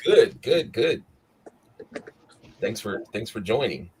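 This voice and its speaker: A man's voice: on the low side, expressive, slow pace. A man hosting a weekly live show in casual chat, with a scratchy morning voice, rambling, tired but upbeat.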